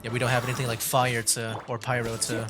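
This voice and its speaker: A man's voice: mid-range voice, monotone, quick pace, music in the background. A man streaming a video game, giving casual, offhand commentary. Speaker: streamer, gamer.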